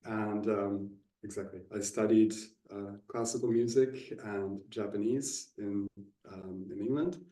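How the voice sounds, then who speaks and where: A man from Bavaria, a co-founder, answering interview questions in a relaxed way, with lots of fillers and small laughs. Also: on the low side, unhurried, hardly any pauses. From Germany, Bavaria. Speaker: co-founder, musician and teacher. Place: inside a converted train station building. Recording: echoey room, mic at a distance.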